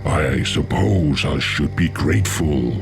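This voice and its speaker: Sinister orc warlock, very slow, heavily creaky, gloating, mockingly polite, game villain, music playing loudly behind. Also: male voice, extremely low pitch, full character voice. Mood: cruel, gloating. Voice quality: gravelly. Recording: loud music under the voice.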